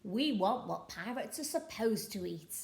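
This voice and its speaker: A woman's voice: mid-range voice, expressive, a little slow, a little mellow, echoey room. A woman voicing a bossy male pirate leader in a children's storybook who barks his orders. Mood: indignant. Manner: barking orders.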